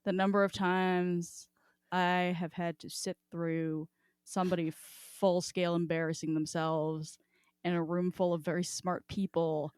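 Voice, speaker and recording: A woman's voice: on the low side, little pitch movement, a little slow, a little mellow, smooth, dry recording, clean studio sound. A woman co-hosting a podcast in casual, sweary book chat with emphatic asides. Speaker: podcast co-host.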